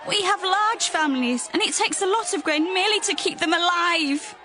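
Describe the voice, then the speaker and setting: A woman's voice: very high-pitched, normal speed, pushed and bright, some chatter behind. A woman playing a distressed poor mother, complaining and pleading. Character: distressed poor mother of a large hungry family.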